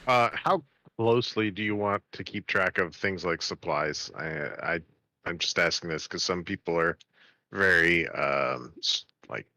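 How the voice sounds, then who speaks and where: A man's voice: low-pitched, unhurried, sharp tone, dry recording. A man chatting casually as a player in a tabletop game, phrasing his questions hesitantly.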